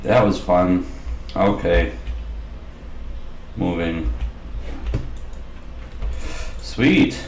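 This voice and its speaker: A man with a slightly raspy voice giving casual commentary over his gameplay, mumbling asides as he plays. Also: deep voice, drawn-out words, long pauses, echoey room, a little hiss or hum, poor audio. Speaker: gaming channel host.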